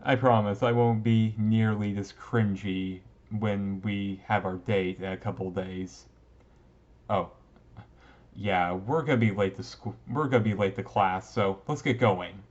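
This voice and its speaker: A man playing a shy, young-looking goth vampire classmate in a romantic roleplay, speaking hesitantly and casually to the listener with gentle teasing. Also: on the low side, fairly even intonation, unhurried, lo-fi sound, lightly acted. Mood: playful, fond. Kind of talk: romantic roleplay audio drama, talking to listener.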